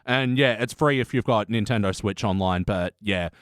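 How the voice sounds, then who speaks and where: A man's voice: lowish voice, moderate pace, fairly bright tone, no echo, clean studio sound. A man hosting a podcast in casual chat, talking informally and enthusiastically with plenty of swearing. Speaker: podcast host.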